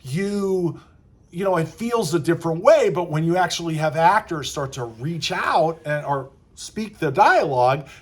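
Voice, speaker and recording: A man's voice: a little high, lively intonation, a little slow, slightly rough. A man talking casually to camera as a screenwriting coach, conversational, acting out little quoted asides as he explains. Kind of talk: casual advice talk to camera.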